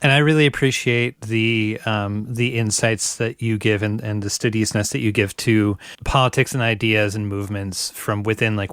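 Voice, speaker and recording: A man hosting a weekly radio show, reading a scripted introduction and then asking interview questions in a measured, earnest way. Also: lowish voice, fairly bright tone, smooth, no room sound.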